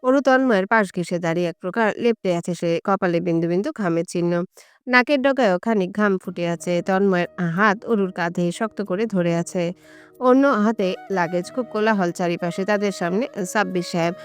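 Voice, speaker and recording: A woman's voice: mid-range voice, moderate pace, clean tone. A woman reading a scripted romantic story as its narrator.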